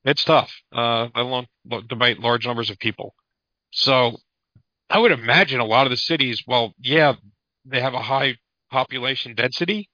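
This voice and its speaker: A man in a mid-range voice chats casually on a podcast. He speaks haltingly, with a wry streak and the odd swear word. The sound is dry and a bit dull. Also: mid-range voice, no room sound. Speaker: podcast co-host.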